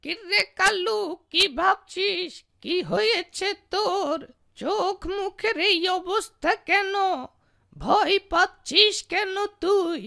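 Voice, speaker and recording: Lady doing a squeaky high cartoon landlord man, slow and theatrical, soft-hearted, music behind. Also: creaky, dry recording, clean studio sound, heavily acted. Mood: puzzled. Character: gullible, tender-hearted male village landlord. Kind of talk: exaggerated cartoon dubbing.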